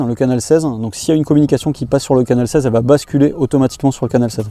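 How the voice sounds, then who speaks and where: Male tutorial presenter, very mellow dark tone, brisk pace, informal unscripted demo delivery. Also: medium pitch. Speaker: presenter of boating equipment tutorials. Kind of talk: informal product demonstration, explaining step by step.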